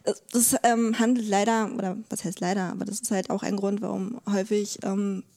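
A woman's voice: mid-range voice, flat delivery, brisk, warm tone. A woman explaining things as a podcast interview guest, conversational and often searching for words. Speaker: interview guest, legal expert.

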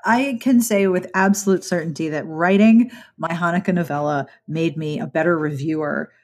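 A woman's voice: lowish voice, normal speed, darkish tone. A woman hosting a podcast, chatting casually and enthusiastically, with lots of "like" fillers. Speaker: podcast host. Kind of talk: casual interview chat.

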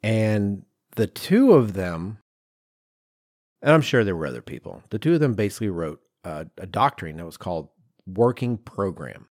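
A man hosting a podcast, explaining design history in an informal, conversational, animated storytelling way with acted-out asides. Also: on the low side, moderate pace, no room sound, clean studio sound. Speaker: podcast host.

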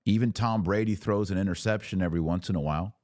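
Low-voiced man, live commentary. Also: fast talker, darkish tone, no echo, studio quality. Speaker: podcast host and commentator.

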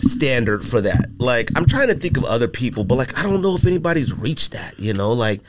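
A man hosting a casual podcast chat, telling stories with lots of fillers in an animated, drawn-out way. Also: mid-range voice, background noise. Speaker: podcast host.

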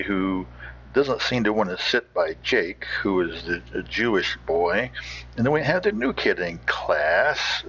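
A man's voice: lowish voice, fairly bright tone, breathy edge, highs cut off. A man hosting with casual, rambling, hesitant commentary in a folksy manner, thinking aloud. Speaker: host of an old-time radio show.

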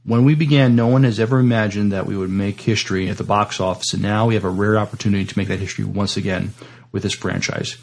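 An American man co-hosting a casual entertainment news chat, with a halting delivery and frequent repeated words. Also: on the low side. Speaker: podcast co-host.

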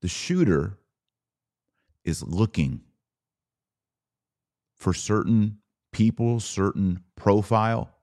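A man hosting a podcast, giving solo commentary on the news in an earnest, emphatic way with short, deliberate phrases. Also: fairly low pitch, a little mellow.

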